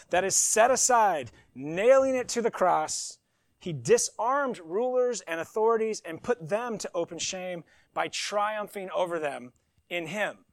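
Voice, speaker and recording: A man's voice: high voice, gravelly, dry recording. A man preaching a sermon, reading scripture with emphasis and breaking into conversational asides. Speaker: pastor.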